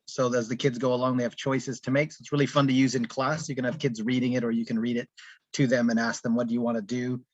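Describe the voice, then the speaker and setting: A man's voice: mid-range voice, level tone, fast talker, no echo. A man casually explaining his book as its author, talking from home on an online call. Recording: dull, lo-fi call audio.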